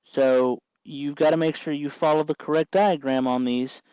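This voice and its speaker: The guy at the next workbench in a hobby workshop, patiently talking you through each step in a smooth, slightly high voice, close and dry. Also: fairly even intonation, moderate pace, a little mellow, dry recording, highs cut off. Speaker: host of a DIY electronics tutorial. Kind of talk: casual hands-on tutorial, explaining while working.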